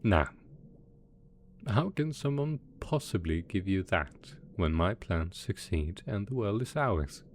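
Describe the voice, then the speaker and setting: A man's voice: fairly low pitch, lively intonation, slow. A man voicing a melodramatic, possessive mad scientist supervillain boss in a roleplay audio drama, smug and coaxing in manner. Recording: faint background noise.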